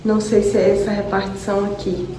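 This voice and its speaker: A young woman with a hoarse voice from a cold, chatting casually and affectionately to her viewers in a vlog. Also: medium pitch, level tone, quick pace, dark tone, a little hiss or hum, poor audio. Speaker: vlogger. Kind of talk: casual vlog talk to camera.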